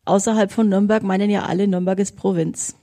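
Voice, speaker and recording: A woman's voice: medium pitch, fairly even intonation, fairly quick, dark tone. A woman interviewer asking long, chatty questions in a casual conversation.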